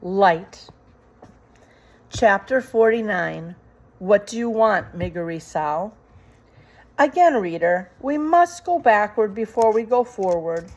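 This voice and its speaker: A woman reading a storybook aloud in a deliberate, suspenseful way, with emphatic dramatic phrasing. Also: mid-range voice, expressive, poor audio. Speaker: storybook reader. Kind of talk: scripted dramatic storybook narration.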